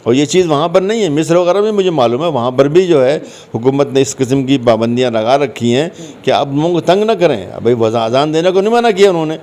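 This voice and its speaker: A man's voice: a little high, lively intonation, quick pace, a little mellow. A man answering interview questions conversationally, explaining his points and repeating phrases for emphasis. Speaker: interview guest, commentator.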